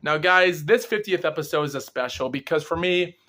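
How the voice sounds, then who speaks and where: A young man with a groggy, slightly ill voice, hosting his own paranormal exploration vlog and rambling in long run-on sentences. He talks without pausing for breath and sometimes stumbles over his words. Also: fairly high pitch, pushed and bright. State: groggy and under the weather. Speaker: host of a paranormal exploration series. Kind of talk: rambling solo vlog recap.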